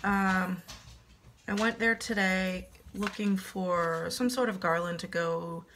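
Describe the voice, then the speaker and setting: A woman's voice: mid-range voice, fairly even intonation, slow pace, a bit bright, a little hiss or hum. A woman in her thirties losing her voice, chatting casually through a home decor haul in her kitchen on a webcam. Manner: chatty, self-deprecating, upbeat. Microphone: webcam at desk distance.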